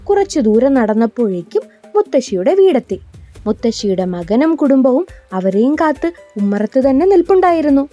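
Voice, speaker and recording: A woman storyteller with a high, mellow voice reads a children's bedtime story from a script. Faint music plays behind her narration. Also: sing-song, normal speed.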